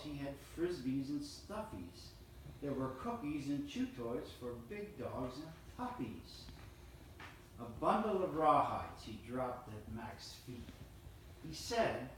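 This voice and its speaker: Slow man reciting a rhyming story. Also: mid-range voice, a little hiss or hum. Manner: steady, measured reading in rhyme. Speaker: storyteller reading a Christmas poem. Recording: roomy, distant mic, faint ambience.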